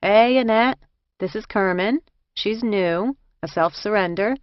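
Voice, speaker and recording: A woman voicing a brisk, no-nonsense woman inmate showing a newcomer around, in audiobook dialogue. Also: medium pitch, clean tone, dry recording, lo-fi sound, pitched above the performer's own voice, natural voice. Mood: businesslike.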